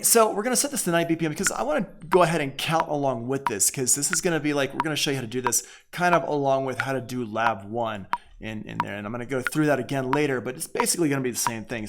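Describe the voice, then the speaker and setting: A man gives a casual, live online lesson in audio production. His voice sits at a medium pitch with a noticeable fry and creak. He talks informally, thinking aloud as he clicks through the steps. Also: a little hiss or hum.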